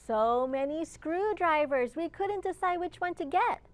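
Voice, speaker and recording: A woman children's librarian reading aloud to young children in an encouraging storytime manner, pausing to ask simple questions. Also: very high voice, lively intonation. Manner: slow, encouraging, asks listeners simple questions.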